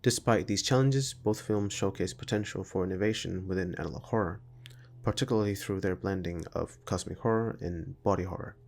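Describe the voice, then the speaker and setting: A low male voice with plenty of vocal fry narrates a scripted video essay. He reads in a formal, academic way, laying out analysis point by point. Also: fairly quick, a little mellow.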